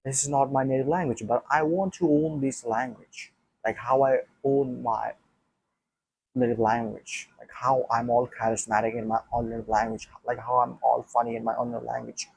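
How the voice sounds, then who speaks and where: A man's voice: medium pitch, fairly even intonation, moderate pace, creaky, a little mellow, slightly rough, poor audio. A non-native English-speaking man talking alone to a camera about learning the language, thinking aloud with many restarts and repeated phrases. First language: not English. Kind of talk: casual solo talk to camera.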